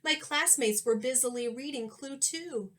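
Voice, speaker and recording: A woman reading a children's storybook aloud like a teacher, expressive and sing-song. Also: high-pitched. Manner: expressive, sing-song, pausing to ask listeners questions.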